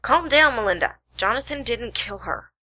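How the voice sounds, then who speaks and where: A woman's voice: fairly high pitch, lively intonation, moderate pace, very noisy, close to an everyday voice. A woman playing a shrewd, self-assured detective in an amateur radio play, explaining with dramatic deliberation.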